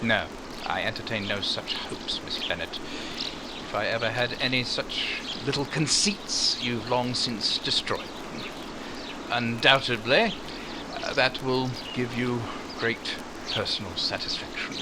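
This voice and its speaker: An actor plays a proud gentleman in an old radio romance. His medium-pitched voice is formal and stiff, halting awkwardly, with clear noise in the background. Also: lightly acted. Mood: wounded pride. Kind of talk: 1940s radio romance acting.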